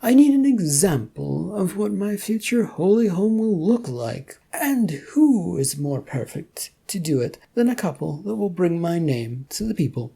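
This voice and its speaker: A reader voicing a mischievous, theatrical male god with gravelly edge. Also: mid-range voice, big pitch swings, measured pace, hardly any pauses, dark tone, pitched above the performer's own voice, exaggerated voice. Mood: grand, welcoming. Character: mischievous male god, grand showman setting challenges.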